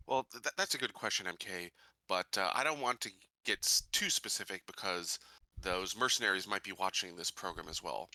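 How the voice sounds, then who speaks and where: A man's voice: low-pitched, a little fast, pushed and bright, no room sound, full character voice, poor audio. A man playing an earnest, determined sheriff-to-be in a tabletop game, speaking formally and measuredly with small stumbles. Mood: firm, reassuring.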